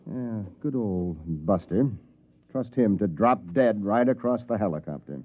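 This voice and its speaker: An actor playing a dreamy, soft-hearted inventor in a 1950s sci-fi radio drama, folksy and wryly grandiose. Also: male voice, fairly low pitch, lively intonation, unhurried. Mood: dismayed. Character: Wes, dreamy, soft-hearted inventor leading a time-travel expedition. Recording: dull, lo-fi vintage radio recording.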